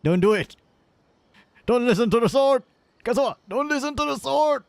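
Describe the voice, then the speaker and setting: A man's voice: extremely high pitch, lively intonation, normal speed, vocal fry, dry recording. A man streaming live, giving casual, slangy, rambling commentary on a game.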